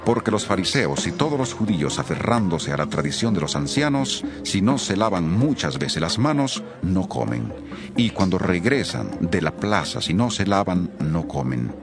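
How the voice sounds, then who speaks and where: A male narrator reads scripture in a very deep voice. His intonation moves widely while staying measured and solemn. Faint music runs beneath the reading. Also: big pitch swings. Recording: faint music bed under voice.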